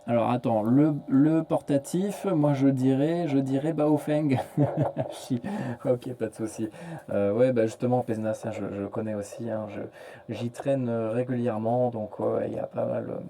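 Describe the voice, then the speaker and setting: A man chatting casually as a radio operator, halting and often repeating his words. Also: medium pitch, warm tone. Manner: hesitant, repeats words, trailing "hein" and "voilà". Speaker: amateur radio operator, relay network host. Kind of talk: casual radio contact chat.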